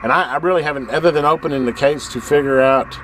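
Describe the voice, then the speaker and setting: Rambling man over clear background radio noise. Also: a little high, fast, a bit bright, low-quality recording. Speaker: hobbyist video host showing a vintage radio. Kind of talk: casual unscripted show-and-tell. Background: CB radio hiss and chatter.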